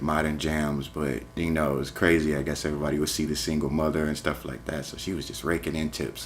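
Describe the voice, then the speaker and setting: A man with an extremely deep voice that is a little hoarse from singing. He talks in a laid-back, reflective way, with a faint hum in the background. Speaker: street musician. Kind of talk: casual chat.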